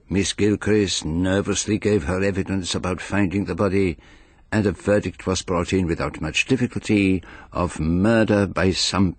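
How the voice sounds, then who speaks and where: An actor playing a precise, self-important detective narrating his case in a radio drama, in a formal, measured manner. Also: deep voice, breathy edge, no echo, exaggerated voice.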